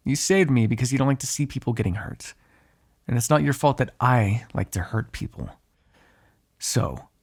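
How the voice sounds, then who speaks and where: A man playing an ageless, cynical male vampire in an audio roleplay, with a gravelly, husky voice and a sardonic, exasperated manner. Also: medium pitch, darkish tone. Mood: sardonic, menacing. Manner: sardonic, drawling, swearing, exasperated asides. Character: ageless male vampire, cynical, menacing, grudgingly fond captive. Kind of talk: ASMR roleplay, one-sided dramatic dialogue.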